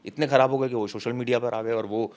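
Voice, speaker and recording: A man working as a news reporter, explaining details in an unscripted discussion, haltingly and with English legal terms mixed in. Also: mid-range voice, fairly even intonation, a little mellow.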